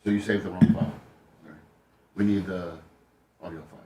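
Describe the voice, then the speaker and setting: Low-voiced, fast-talking man in a roomy space. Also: fairly even intonation, dark tone, recorded from a distance. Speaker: radio show technical crew member. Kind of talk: casual instructional chat. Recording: echoey room, off-mic, faint noise.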